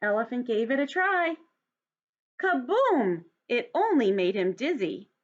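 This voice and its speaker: A woman reads a storybook to young children with a high voice and very animated intonation. Her delivery is deliberate and expressive, like a teacher's. The recording is a little dull and lo-fi. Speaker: teacher reading to students. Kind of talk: children's storybook read-aloud.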